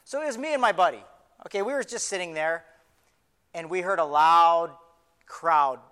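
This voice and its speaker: Man acting as an excitable blind beggar, high bright voice. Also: clean tone. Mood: excited. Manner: halting, repeats phrases, conversational storytelling. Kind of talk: first-person dramatic monologue, retelling a Bible story. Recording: live in a large reverberant hall.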